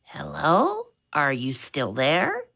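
A woman voicing an elderly, hard-of-hearing woman who talks loudly in a squeaky, fussy, doting way. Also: huge pitch swings, takes it slowly, breathy edge, heavily acted.

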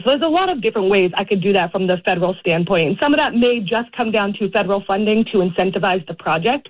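A woman in her thirties with a Boston accent, a candidate talking earnestly on a radio call-in with lots of ums and restarts. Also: medium pitch. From Boston area. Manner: earnest, halting, with many fillers. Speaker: congressional candidate, radio guest. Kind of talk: casual radio interview. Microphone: phone call. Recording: tinny phone line.

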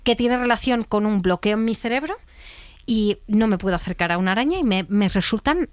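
A woman co-host in a casual chat, expressive and self-deprecating, with hesitant restarts. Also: medium pitch, fairly quick, no echo.

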